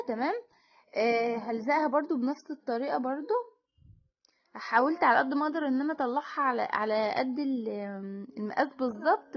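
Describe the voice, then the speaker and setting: A woman with an Egyptian Arabic accent hosts a do-it-yourself crafts video, explaining each step in a chatty, informal way. Her voice sits high, and she talks quickly, often breaking off and starting a phrase again. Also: lo-fi sound. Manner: chatty and explanatory, with frequent restarts and fillers. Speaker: DIY crafts channel host. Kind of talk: casual voice-over crafting tutorial.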